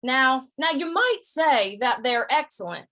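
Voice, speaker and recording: A woman's voice: high-pitched, animated, measured pace, bright, forceful tone, full character voice. A woman playing a sly, good-natured western drifter in a radio play, folksy and hesitant, often repeating words. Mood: hesitant, diplomatic. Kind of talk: old-time radio western acting.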